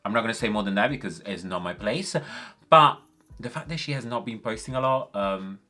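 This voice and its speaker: A man's voice: on the low side, fairly quick, bright, forceful tone. A man from Italy, speaking English as a second language with an Italian accent, chatting casually with lots of ums and likes while doing his makeup. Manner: chatty, many fillers like um and like. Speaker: beauty vlogger.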